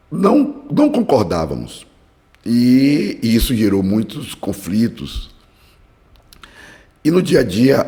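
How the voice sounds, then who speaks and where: A hoarse man leading an earnest, fervent Bible study teaching with prayer. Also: medium pitch, darkish tone. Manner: earnest, fervent preaching cadence. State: hoarse from a cold. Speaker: Bible study podcast host. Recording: long hall reverb.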